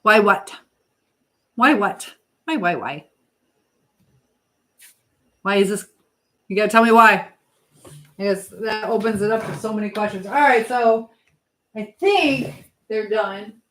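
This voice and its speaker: A woman's voice: mid-range voice, steady tone, measured pace, long pauses, fairly bright tone. A middle-aged woman hosting a casual live chat, talking directly to viewers and giving motherly advice. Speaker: livestream host.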